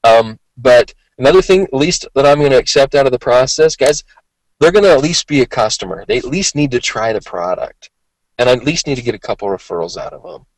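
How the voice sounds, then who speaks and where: A man's voice: medium pitch, animated, fairly quick, no echo, low-quality recording. Guy doing sales coaching, tired worn-out voice, chatty but emphatic, repeats stuff, dry close sound. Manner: conversational, emphatic, repeats himself, checks in often. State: voice worn from talking all day, occasional cough. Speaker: sales trainer. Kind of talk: motivational training talk.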